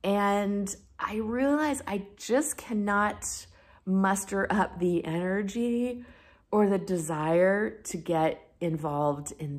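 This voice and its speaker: A woman talking casually and candidly to her viewers as a book vlogger, leaning on key words for emphasis. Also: mid-range voice. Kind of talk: casual chatty monologue to camera about reading plans.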